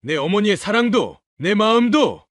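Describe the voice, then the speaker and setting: Male actor as bitter elderly father for radio drama: high voice, very animated pitch, reproachful. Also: moderate pace, dry recording, clean studio sound. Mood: wounded, reproachful. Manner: reproachful, emphatic questioning. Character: wounded, bitter old widowed father. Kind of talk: audio drama acting.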